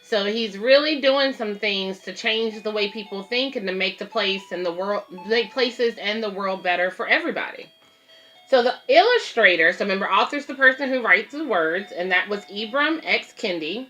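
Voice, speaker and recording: A woman's voice: medium pitch, expressive, normal speed, a bit bright, soft background music, rough recording. A woman hosting a children's read-aloud, reading a picture book and explaining it simply to young listeners.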